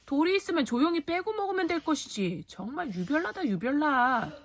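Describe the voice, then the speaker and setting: A woman voicing a cruel, two-faced stepmother in a storybook, with sharp, spiteful scolding. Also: high-pitched, big pitch swings, brisk, muffled recording, voice pushed up. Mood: angry scolding.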